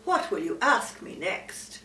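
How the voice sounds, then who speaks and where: A woman's voice: mid-range voice, sing-song, takes it slowly, sharp tone, full character voice. An older woman voicing a haughty, scornful python snake in a storybook reading. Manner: dreadfully scornful. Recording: roomy, distant mic, faint background noise.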